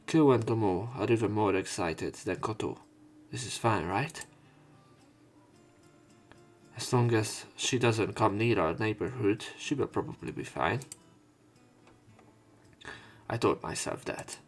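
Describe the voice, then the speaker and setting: A man's voice: on the low side, measured pace, faint music behind. A man narrating a visual novel as a let's play, reading the story text aloud.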